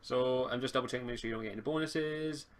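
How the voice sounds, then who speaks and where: A man's voice: medium pitch, fast talker, crowd noise. A man acting as game master in a casual online tabletop game, talking hesitantly and muttering as he checks things. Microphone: computer mic on an online voice call. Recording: noisy home computer mic.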